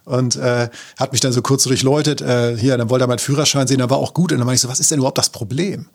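A man from Germany tells a travel story in a loose, colloquial way. He talks fast, and his voice has a gravelly, fried edge. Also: medium pitch, steady tone. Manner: chatty, colloquial. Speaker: podcast co-host.

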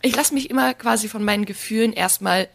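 A woman's voice: a little high, quick pace, vocal fry, fairly bright tone, slight background noise. A young woman, a guest on a casual podcast, talking candidly with a stuffed-up, nasal voice. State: congested, nasal.

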